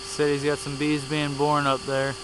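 A man's voice: fairly high pitch, monotone, moderate pace. A man giving matter-of-fact, casual running commentary as he films a bee removal outdoors under trees. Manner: plain, matter-of-fact play-by-play. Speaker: camera operator narrating a bee removal. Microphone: handheld camera mic. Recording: camcorder audio with clear hum and background noise.

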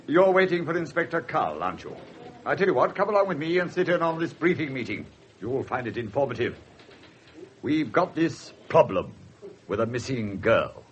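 An actor with an English accent playing a pompous, courteous, middle-aged police superintendent in a radio drama, formal and somewhat awkward. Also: male voice, mid-range voice, very animated, moderate pace, a little hiss or hum, lightly acted. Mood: genial. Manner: formal, hesitant when uneasy. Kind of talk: 1970s radio drama acting. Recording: slightly muffled 1970s radio broadcast.

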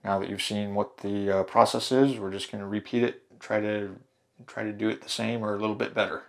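A man hosting a hands-on darkroom printing tutorial, talking through each step in a relaxed way with fillers and restarts. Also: fairly low pitch, fairly quick, creaky. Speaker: photography channel host. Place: home darkroom in a laundry room.

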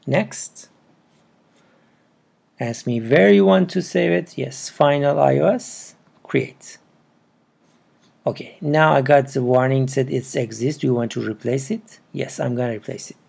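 A man with a non-native English accent narrates a casual step-by-step tutorial, with halting, broken phrasing. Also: medium pitch, vocal fry, darkish tone, breathy edge. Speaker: programming tutorial presenter. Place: indoors at a computer.

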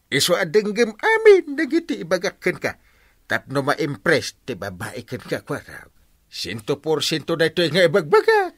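A man's voice: fairly high pitch, wildly swooping pitch, moderate pace. A man playing a meddling, blustering uncle with an Ilocano accent and a stammering, exaggerated delivery in a comedy radio drama. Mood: scheming, boastful. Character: meddling, blustering uncle giving bad courting advice.

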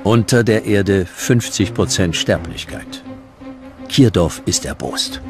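A man's voice: fairly low pitch, expressive, takes it slowly. A man reading scripted narration for a historical documentary. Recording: music bed under the voice. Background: background music.

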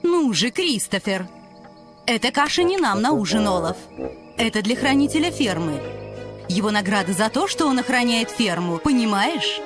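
A woman playing a kindly, superstitious middle-aged farmwife in a dubbed cartoon, earnest and gently chiding. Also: on the high side, lively intonation, normal speed, lightly acted. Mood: placating. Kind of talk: animated film dub. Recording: music behind the voice.

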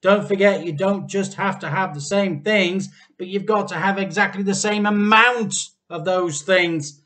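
Man as a nagging, forceful farmer's wife. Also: sits high, unhurried, voice pushed up. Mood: scornful, doubtful. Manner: scolding, doubtful.

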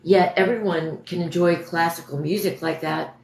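A hoarse young woman chatting and rambling on a livestream from home, reading viewer comments. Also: fairly low pitch, normal speed, darkish tone, reverberant, slight background noise, low-quality recording. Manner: chatty, rambling, self-correcting. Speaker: livestream host. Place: dim home room at night. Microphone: phone camera at arm's length.

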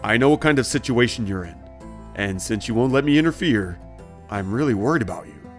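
A serious, protective boss and husband voiced by an actor for an audio drama. He speaks in a measured, earnest way at a middling male pitch, over faint music. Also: lively intonation, close to an everyday voice. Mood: tender concern.

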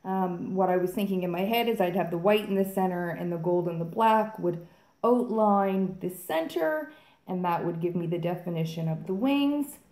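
A woman's voice: fairly low pitch, normal speed, a little mellow, reverberant. A woman hosting a fluid art channel, chatting casually and upbeat to viewers while she mixes paint. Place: home art studio, indoors.

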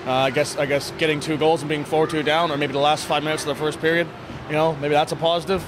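A man in his thirties, a sports coach giving a candid, casual post-game interview in a plain-spoken, halting way with repeated words. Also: on the high side, little pitch movement. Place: indoor sports hall, blue block walls. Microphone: handheld interview microphone. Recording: noisy venue with background ambience.

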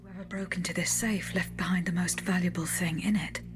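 A woman's voice: lowish voice, fairly even intonation, fairly quick, close to an everyday voice. A woman voicing a mechanical female automaton who murmurs her observations to herself in a whispery voice. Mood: puzzled. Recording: game audio with clear noise.

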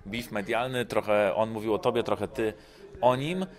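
Niski męski głos reportera sportowego. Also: male voice, on the low side, moderate pace. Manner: informal, hesitant questions with fillers. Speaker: interviewer. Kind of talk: casual sports interview questions.

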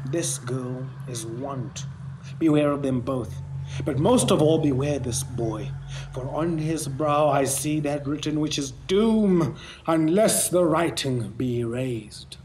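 An actor playing a solemn, fading Christmas spirit in an audio drama, giving warnings in a portentous, theatrical way. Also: male voice, fairly high pitch, a little mellow, rough recording. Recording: faint ambient noise behind.

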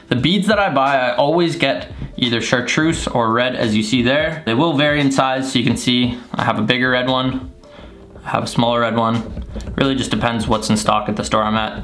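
A young man with a gravelly edge to his voice talks through his gear in an easy, explanatory way, filling gaps with "uh" and "like". He speaks at a mid pitch to a desk camera in a small bedroom, with faint music running underneath. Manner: relaxed, explanatory, lots of "uh" and "like". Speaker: fishing channel host. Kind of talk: casual tutorial vlog.

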